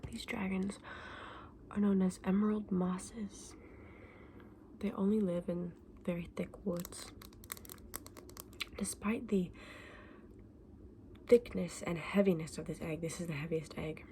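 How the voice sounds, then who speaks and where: A woman playing a friendly, knowledgeable fantasy egg saleswoman in an ASMR roleplay, speaking softly and often in a breathy whisper, gently explaining things to a customer. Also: fairly low pitch, fairly even intonation, slow pace, pauses often, a little hiss or hum. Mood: helpful, soft.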